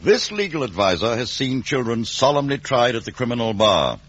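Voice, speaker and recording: An actor playing a shrewd, guarded, domineering lawyer with an emphatic, cross-examining delivery in a 1950s radio drama. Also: male voice, mid-range voice, big pitch swings, normal speed, a bit bright, breathy edge. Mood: stern. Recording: dull, roomless old radio recording.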